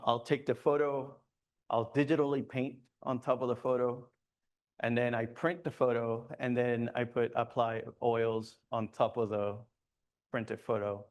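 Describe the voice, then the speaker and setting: A man speaking at a club meeting in a loose, conversational way, often stopping and restarting with fillers. His voice is smooth and clear at a middle pitch. It sounds like a relaxed talk in a meeting room. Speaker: guest speaker at a photography club. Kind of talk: presentation with audience questions.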